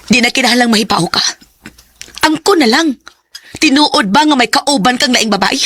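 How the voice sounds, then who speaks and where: A woman's voice: on the high side, moderate pace, fairly bright tone, slightly rough, no room sound. A woman playing a young female student in a radio drama, emotional and pleading. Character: young female student, lovestruck then heartbroken. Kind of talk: Cebuano radio drama acting.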